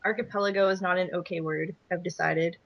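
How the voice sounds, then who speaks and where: A woman's voice: lowish voice, steady tone, a little fast, lo-fi sound. A woman, a voice actor chatting casually on a commentary panel. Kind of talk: casual chat.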